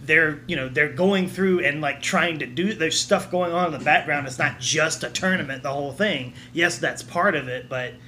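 A man's voice: fairly high pitch, normal speed, few pauses, bright, forceful tone. A man chatting casually as a panelist on a podcast. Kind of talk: casual chat. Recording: noticeable background noise.

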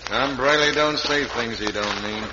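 An actor playing a rough, loyal outlaw henchman in a radio western, with a folksy American accent. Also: mid-range voice, a little slow, lightly acted. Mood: gruff. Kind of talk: old-time radio western acting. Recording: echoey, muffled noisy vintage radio.

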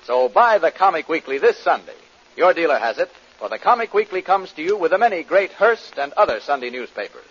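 Male 1940s radio announcer, emphatic, bright, slightly high voice, muffled vintage broadcast. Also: big pitch swings, a little fast, husky. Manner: emphatic, declamatory.

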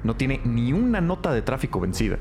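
Medium-pitched male voice, plain-spoken police analyst, scripted drama, music behind. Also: sing-song, a little fast, a little mellow. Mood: matter-of-fact reporting. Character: matter-of-fact male police lab analyst. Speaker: actor in a crime audio drama.

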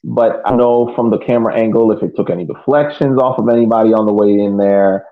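Male podcast co-host, lower-pitched, phone-quality audio, casual soccer analysis with halting phrasing. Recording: narrow phone-like sound.

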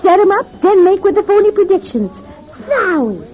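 An actress playing a tough, scheming go-go dancer with sardonic, slangy delivery in an old radio drama. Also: female voice, extremely high pitch, very animated, moderate pace. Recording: muffled old radio recording.

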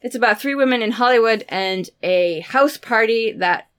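A woman in her forties co-hosting a book podcast, bubbly and giggly, talking excitedly and casually. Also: a little high, moderate pace, smooth. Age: 40s. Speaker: podcast co-host.

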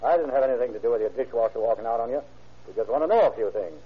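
An actor playing a calm, blunt police partner, in 1940s radio acting. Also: male voice, mid-range voice, racing, breathy edge. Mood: firm. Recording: tinny old radio recording.

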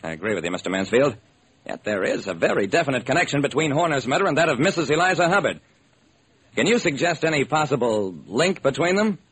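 An actor playing a brisk, determined hard-boiled male private detective, narrating and acting in a classic radio crime drama. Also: mid-range voice, very animated, a bit bright, low-quality recording. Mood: intent, clipped. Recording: old, slightly rough radio recording.

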